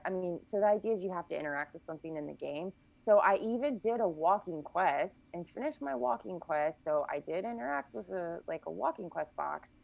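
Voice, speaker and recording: A woman speaking in a lower register with a gravelly, creaky edge, recounting events at length as a podcast guest. Her delivery is casual and conversational, and she often corrects herself mid-thought. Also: low-quality recording. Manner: long detailed recounting, frequent self-corrections.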